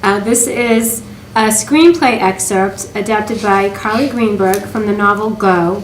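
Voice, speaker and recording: A woman speaks as the host of a writers' critique show. She addresses listeners in an explanatory way. The room is echoey, with faint noise behind her. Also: medium pitch. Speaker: podcast host. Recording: roomy echo with noise behind.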